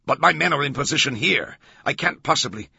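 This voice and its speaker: A man's voice: fairly high pitch, lively intonation, brisk, gravelly, pushed and bright, slightly rough, no echo, highs cut off, voice pushed up, exaggerated voice. A man voicing a bewildered, angry male commander who protests and blusters in an audiobook.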